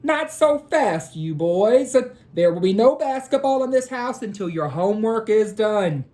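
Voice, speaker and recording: A woman's voice: medium pitch, lively intonation, a little slow, warm tone, pitched above the performer's own voice, close to an everyday voice. A woman reading a storybook, voicing a strict, no-nonsense mother who gives firm orders. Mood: stern, commanding. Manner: firm, emphatic commands. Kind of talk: storybook read-aloud character voice.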